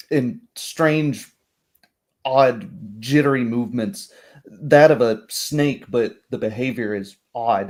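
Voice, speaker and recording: Guy running a tabletop rpg as game master, lowish voice, wordy descriptive narration. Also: darkish tone.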